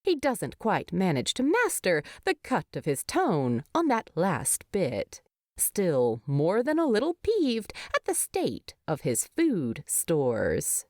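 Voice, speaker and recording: A woman reading scripted story narration in an expressive storytelling style. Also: on the low side, slow pace, no echo, clean studio sound. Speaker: fan fiction narrator.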